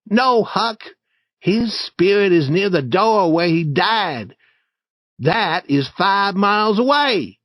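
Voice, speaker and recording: A man's voice: slow pace, no room sound, exaggerated voice. Bold eager boy, as a man puts him on. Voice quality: raised, boyish pitch. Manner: excited, lively storybook acting. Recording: dry, slightly dull recording.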